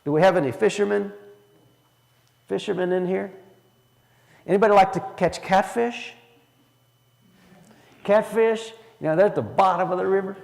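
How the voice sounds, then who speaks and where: A man's voice: high-pitched, normal speed, vocal fry, pauses often, darkish tone, in a large hall. A man leading a church Bible study in a folksy way, asking the room questions as he teaches. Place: church hall.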